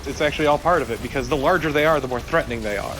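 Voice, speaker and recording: A man's voice: mid-range voice, racing, noisy background. A man co-commentating on a video game in a casual, dry, mock-serious way. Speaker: let's play co-commentator. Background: game sound.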